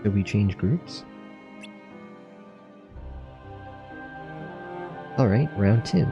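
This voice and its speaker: A man's voice: on the low side, animated, normal speed, gravelly, muffled recording. A man reading out game cutscene dialogue, voicing earnest young student warriors in a fantasy story. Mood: determined. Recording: music under the voice.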